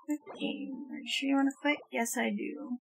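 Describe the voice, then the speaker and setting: A woman's voice: high voice, steady tone, normal speed, gravelly, faint music behind. A woman livestreamer chatting casually as she plays a game, on a close mic. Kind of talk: casual game stream chat.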